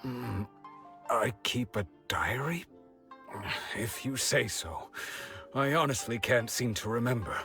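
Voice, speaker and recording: Slow, weary older blind villager. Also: male voice, medium pitch, bright, forceful tone, soft background music. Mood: vague, resigned. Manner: hesitant, resigned, brooding. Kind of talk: English video game voice acting.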